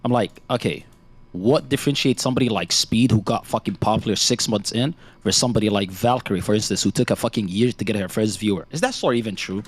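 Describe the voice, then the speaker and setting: A man's voice: lowish voice, fast. A young man in casual voice chat, blunt and teasing, swearing constantly. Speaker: interviewer on a stream call.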